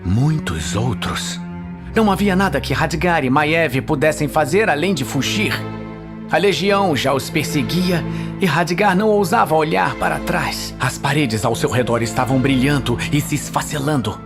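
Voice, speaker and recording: A man with a Brazilian accent narrating a fantasy audio series in a solemn, suspenseful, measured style. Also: on the high side, animated. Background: dramatic music.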